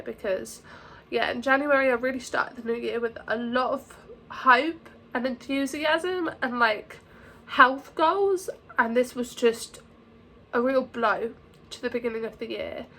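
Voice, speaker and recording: Croaky, anxious young woman vlogging. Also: a little high, bright, forceful tone, slight background noise, rough recording. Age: young adult. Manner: anxious, halting, full of fillers and restarts. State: croaky from a sore throat. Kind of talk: casual to-camera vlog.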